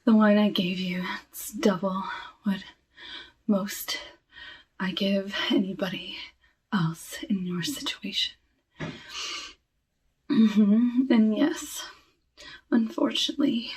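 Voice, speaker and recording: A woman voicing a sinister, cursed flesh-eating forest witch in a hushed, breathy ASMR roleplay, often drifting into whispers. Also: a little high, far from the mic, exaggerated voice, poor audio. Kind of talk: ASMR roleplay, soft talking.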